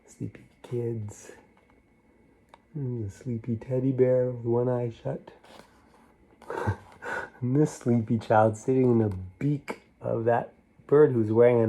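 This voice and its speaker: Slow, playful man reading a picture book. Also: mid-range voice, steady tone, mellow. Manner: unhurried, playful, talks directly to young listeners. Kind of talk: read-aloud storytime with asides about the pictures.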